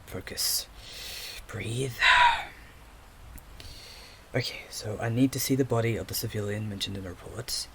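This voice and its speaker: A man playing a restless, overthinking investigator with magical sight in an audio drama, muttering haltingly to himself. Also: on the low side, background noise. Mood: concentrating.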